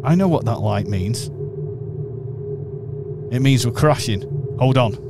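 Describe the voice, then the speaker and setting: A man playing a calm, eccentric male alien time-traveler in a radio play, talking in a musing, whimsical way. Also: medium pitch, takes it slowly, over music. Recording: radio play with clear background noise.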